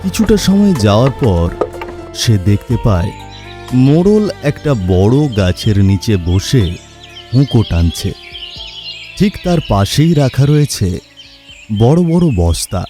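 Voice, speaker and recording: A man narrates an animated storybook with a lively, expressive delivery. His voice sits in the middle of the range, and loud music plays beneath it. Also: medium pitch, a little slow, long pauses. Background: background music.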